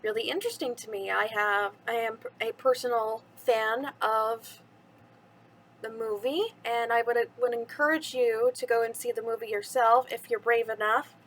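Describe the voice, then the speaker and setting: A woman's voice: on the high side, fairly even intonation, moderate pace, fairly bright tone, slight background noise, rough recording. A woman hosting a bedtime story reading for children, chatty and addressing the young listeners directly.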